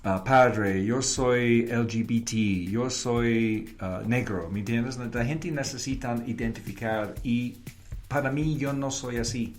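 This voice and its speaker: Halting man with an English-speaking accent. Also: medium pitch, faint music behind. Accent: English-speaking foreign accent in Spanish. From Canada. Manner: halting, mixes in English words. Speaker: interview guest, advertising creative and professor. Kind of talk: conversational interview answers.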